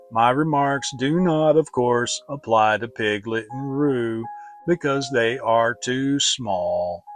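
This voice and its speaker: Man voicing a slow, gloomy old donkey. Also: mid-range voice. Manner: slow, drawn-out, pompous. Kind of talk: dramatic children's storybook reading.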